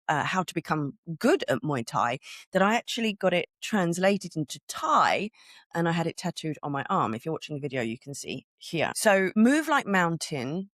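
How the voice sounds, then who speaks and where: Woman podcast host, middle-aged, croaky, earnest and chatty, dry close sound. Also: fairly low pitch, no room sound. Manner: earnest and conversational, with little laughs and restarts. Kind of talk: solo spiritual podcast talk.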